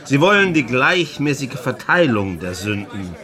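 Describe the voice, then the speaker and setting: A man's voice: mid-range voice, sing-song, unhurried, crowd noise. A man in a German radio play playing a boisterous, domineering, mocking pastor who drinks in defiance. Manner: loud, commanding, mocking.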